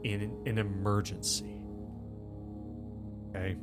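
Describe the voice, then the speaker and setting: A man's voice: fairly low pitch, little pitch movement, takes it slowly, over loud music. A man voicing a tense, threatening, foul-mouthed woman agent in a tabletop game, clipped and insistent as she smokes. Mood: bitter, threatening. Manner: clipped, insistent, mumbling around a cigarette.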